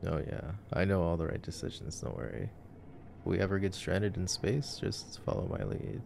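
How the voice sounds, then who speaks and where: A man's voice: low-pitched, big pitch swings, moderate pace, vocal fry, darkish tone, slight background noise, full character voice. A man playing an easygoing, nerdy boyfriend in an ASMR sleep-aid roleplay, chatting casually and teasingly through a movie. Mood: playfully cocky. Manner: casual, teasing, playfully cocky.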